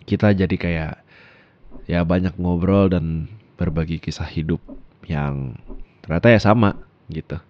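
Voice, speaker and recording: A man's voice: sits low, measured pace, long pauses, dull audio. A young man playing an affectionate, teasing boyfriend in an ASMR roleplay, laughing as he talks. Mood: playful.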